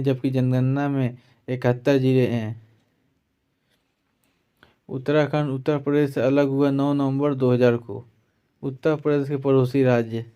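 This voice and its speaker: A man's voice: medium pitch, dark tone, smooth, dull audio. A man teaching an online exam-prep lesson, reading out facts point by point with a repeated tag after listed items. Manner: repetitive verbal tag after each listed item.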